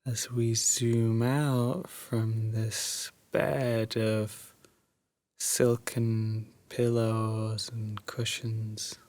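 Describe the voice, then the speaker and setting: Male guide for sleep meditation: drowsy, breathy, very unhurried delivery, dry close sound. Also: fairly low pitch, flat delivery, dry recording. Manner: soft, drowsy, soothing, with long pauses.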